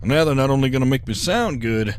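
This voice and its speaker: A man's voice: medium pitch, expressive, a little fast, clear, resonant tone, lightly acted. A man playing a suave, confident showman convict in a sci-fi audio drama, cocky and persuasive. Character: smooth, confident showman convict, schooling his rivals.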